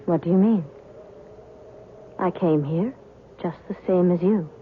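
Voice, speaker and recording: A woman's voice: fairly low pitch, a little slow, lightly acted. Woman acting a cool, mysterious stranger, tinny radio sound. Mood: cool, knowing. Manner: cool, curt, evasive. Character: mysterious, aloof stranger calling herself a reporter, coolly knowing. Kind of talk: old-time radio drama acting. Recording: tinny, band-limited old radio recording, faint noise.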